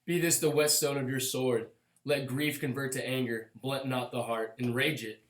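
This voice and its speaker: A noble war leader played by a man exhorts in a Shakespearean radio drama. His voice sits at a middle pitch, declamatory and resolute, with clear room echo around it. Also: fairly even intonation, normal speed, a bit bright, natural voice. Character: resolute young noble leader urging revenge. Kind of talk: Shakespearean radio play acting. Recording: echoey room.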